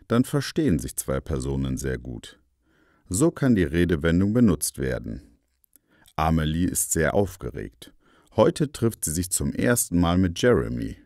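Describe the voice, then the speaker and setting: A man's voice: very deep voice, a little mellow, no echo, studio quality. A man reading scripted educational narration, explaining phrases and telling example stories for language learners.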